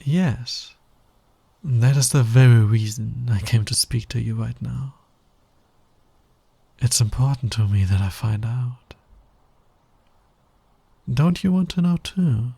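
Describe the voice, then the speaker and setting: A man playing a sly, teasing, dominant fantasy villain in an intimate ASMR roleplay, breathy and husky, often near a whisper. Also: mid-range voice, dark tone, dry recording, exaggerated voice. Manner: slow, teasing, deliberate delivery. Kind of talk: intimate ASMR roleplay, romantic audio drama.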